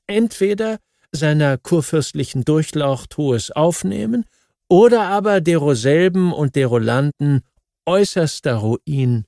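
A man reads scripted historical non-fiction as an audiobook narrator, in a measured, formal manner with deliberate emphasis. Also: a little high, vocal fry, warm tone, no room sound, studio quality.

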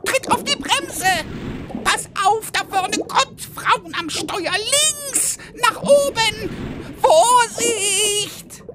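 A woman's voice: very high-pitched, very slow, heavy background noise, full character voice. A woman playing a whiny, bossy online gamer in a comedic audio drama. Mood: panicked bossy. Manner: complaining, excitable.

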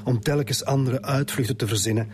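A man's voice: mid-range voice, fairly even intonation, a little fast, close to an everyday voice. An actor playing a weary, defensive middle-aged Flemish father in a radio drama. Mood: bitter, resigned. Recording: music under the dialogue.

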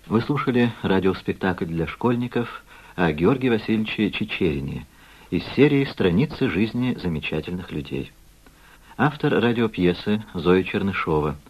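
A man's voice: deep voice, expressive, moderate pace, breathy edge. A man reading scripted credits as a formal radio announcer. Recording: muffled archival radio recording.

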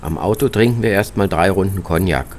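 A man's voice: low voice, fairly quick, slight background noise. A man narrating a scripted first-person travel report, as a reporter, with music behind his voice. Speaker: reporter narrating own radio travel feature.

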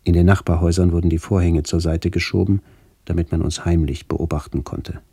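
A man reading a scripted literary radio narration in a measured, solemn manner with incantatory phrasing. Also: sits low, steady tone, warm tone, slightly rough, dry recording.

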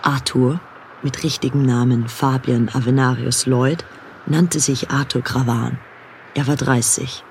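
A man as the storyteller of a radio drama, giving sober, measured scripted narration. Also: mid-range voice, creaky, darkish tone, a little hiss or hum. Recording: music under the narration.

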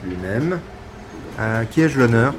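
Weary, sardonic police lieutenant. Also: male voice, mid-range voice, animated, slow, background noise. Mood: wary. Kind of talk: French audio fiction acting.

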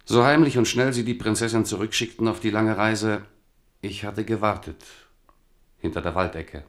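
A man's voice: fairly low pitch, fairly even intonation, normal speed, natural voice. An actor playing a king's melancholy, reproachful companion and poet in a radio drama, earnest, solemn and poetic. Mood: wistful confession. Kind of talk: German radio drama acting.

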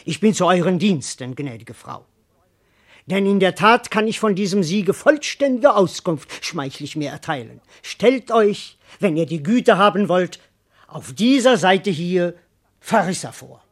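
Here is a servant who is cheeky, boastful and cowardly at heart. His pitch leaps about freely as he declaims classical verse for a radio drama. He is wordy and comically self-important. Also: sing-song, unhurried, exaggerated voice. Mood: boastful, grandiose.

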